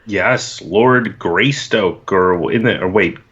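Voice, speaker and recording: A man with a fairly low voice, chatting casually as a podcast guest. He hesitates and repeats words while working out his thoughts, heard through a dull, lo-fi recording. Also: slow, a bit bright.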